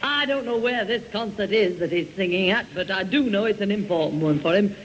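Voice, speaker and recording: A woman's voice: mid-range voice, fairly quick, close to an everyday voice. A woman playing a weary, firm, scolding mother in a British radio sitcom. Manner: exasperated, firm. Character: weary, scolding working-class mother of a schoolboy. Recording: muffled, faintly noisy old radio recording.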